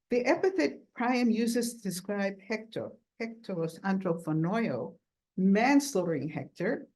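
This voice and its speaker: A woman giving an academic lecture at a workshop, reading quoted texts aloud in a measured, deliberate way and slowing down to stress key words. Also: medium pitch, a little mellow, poor audio.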